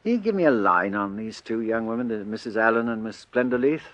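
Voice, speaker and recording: An actor with a British accent playing a police detective in a radio drama, questioning in a matter-of-fact, coaxing way. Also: male voice, lowish voice, expressive, quick pace, a bit bright, no room sound. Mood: coaxing, probing. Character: brisk police detective, probing and patient questioner.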